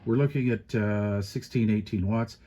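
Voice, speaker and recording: A man's voice: lowish voice, moderate pace, dark tone. A man giving a casual, unscripted hands-on product review in a folksy, plain-spoken way with colloquial turns.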